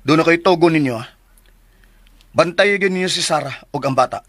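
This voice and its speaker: An actor playing a hot-tempered, protective grown son in a radio drama, scolding and questioning sternly. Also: male voice, fairly high pitch, moderate pace, a bit bright, no room sound, clean studio sound. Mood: grave, protective.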